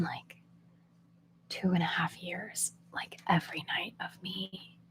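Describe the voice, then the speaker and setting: A young woman whispers the whole time as she hosts a live ASMR stream, recorded on her phone. Her whisper is soft and chatty, at an everyday middle pitch, and she answers viewers' questions with easy laughs. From Oklahoma. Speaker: ASMR channel host.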